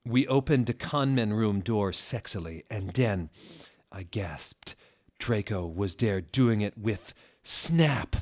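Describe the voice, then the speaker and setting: A man narrating fan fiction in a dramatic comedic reading, with a mock-serious, deliberate and exaggerated delivery. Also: fairly low pitch, expressive, a little mellow.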